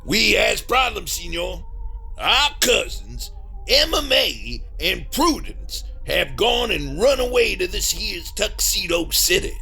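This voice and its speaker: A man playing a folksy, mock-formal rural Southern monster hunter in a comedy horror audio drama, with a gravelly, raspy voice. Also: high voice, very animated, slow pace, bright, forceful tone, soft background music, exaggerated voice. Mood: grave storytelling.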